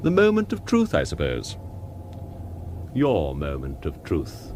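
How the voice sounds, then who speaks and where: An actor playing a coldly menacing villain in a radio thriller, with an English accent and a polite, formal, unhurried, condescending manner. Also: male voice, a bass voice, wildly swooping pitch, heavy background noise, heavily acted. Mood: smooth menace. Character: courteous, coldly menacing male villain in command.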